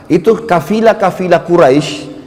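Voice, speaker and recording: A man delivers an Islamic history lecture in a mosque, his voice carrying long reverb. He pitches a bit high and explains step by step, repeating key phrases and addressing his listeners directly. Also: normal speed, darkish tone. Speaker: Islamic preacher. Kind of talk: sermon-like history lecture to a congregation. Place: mosque, speaking by the mihrab.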